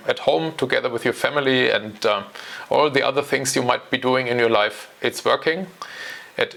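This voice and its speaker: A man's voice: medium pitch, vocal fry, a bit bright. A German man in his forties with a German accent, hosting his own channel and talking to camera alone in a casual, hesitant way with many fillers. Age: 40s. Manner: hesitant, many ums and uhs. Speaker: channel host. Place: home.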